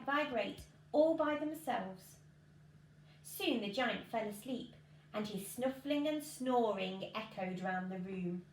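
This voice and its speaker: A woman, reading a children's story expressively. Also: mid-range voice. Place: living room at home, indoors. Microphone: camera a few feet away. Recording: echoey room with background noise.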